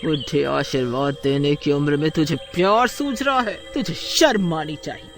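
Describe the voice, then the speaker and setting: A man voicing a vengeful, scornful female witch with drawn-out menacing threats in a horror storybook tale. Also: on the high side, loud music behind the voice, lightly acted. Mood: scornful fury. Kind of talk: Hindi horror storybook acting.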